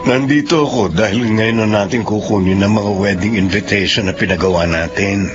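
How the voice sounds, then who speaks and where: An actor playing a young, reassuring fiancé with a calm, reasoning manner. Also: male voice, fairly low pitch, brisk, lightly acted. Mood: gentle. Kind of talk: radio drama acting. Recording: dull lo-fi with clear music.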